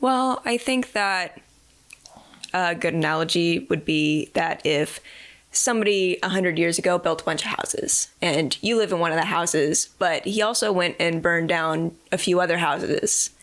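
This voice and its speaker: A woman with a fairly low voice speaks as a guest on a conversational podcast. She explains her views in a relaxed, thoughtful way, often through homely analogies. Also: unhurried. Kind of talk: conversational interview.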